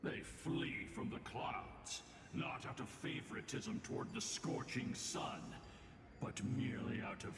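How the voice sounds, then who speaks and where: An actor playing a grave, weary man who recounts ancient history in slow, solemn, measured game dialogue. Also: mid-range voice, animated, vocal fry, noisy background. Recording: reverberant game audio over loud music.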